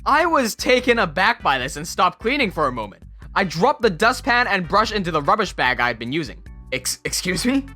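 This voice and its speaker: A narrator reading online stories aloud and adding wry, animated commentary. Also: mid-range voice, a little fast, faint music behind. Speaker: story narrator.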